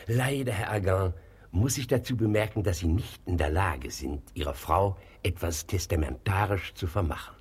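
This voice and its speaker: An actor playing a smooth, sly notary, formal and insinuating, in a German radio comedy. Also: male voice, deep voice, moderate pace. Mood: officious. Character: smooth, sly, obliging notary bending the law. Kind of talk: German radio comedy acting of a classic play.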